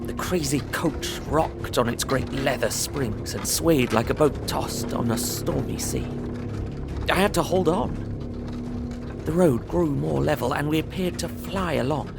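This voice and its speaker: Creaky-voiced gentleman diarist. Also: fairly high pitch, over loud music. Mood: uneasy, descriptive. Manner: measured, descriptive storytelling. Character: observant young English gentleman traveller narrating his journal. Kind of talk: literary audio drama narration.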